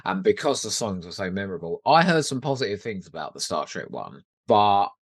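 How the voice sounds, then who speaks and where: A man's voice: fairly low pitch, sing-song, a little fast, dry recording, studio quality. A British man co-hosting a podcast, chatting casually about pop culture with giggly, gushing enthusiasm. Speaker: podcast co-host.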